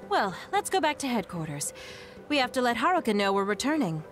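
A woman's voice: on the high side, very animated, brisk, faint music behind. Poised, lilting female squad leader. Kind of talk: anime video game dub acting.

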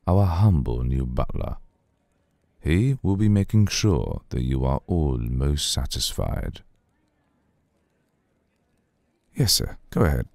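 Man voicing an extremely deep, gravelly old vampire lord. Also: a little mellow, no room sound, exaggerated voice. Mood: authoritative. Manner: formal, unhurried, seductive. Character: suave, centuries-old male vampire lord, courteous, cool, possessive. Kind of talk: ASMR roleplay.

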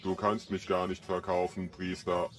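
An actor playing a grumbling, gloomy golem who guards a village in an audio story, sullen and dry. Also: male voice, deep voice, flat delivery, normal speed, lightly acted. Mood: sullen, resigned.

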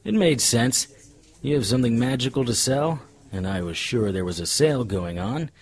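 An actor playing a sardonic, tough-talking private detective in a noir radio drama. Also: male voice, mid-range voice, expressive, moderate pace, husky. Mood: wry, world-weary. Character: hard-boiled male private detective, sardonic and threatening.

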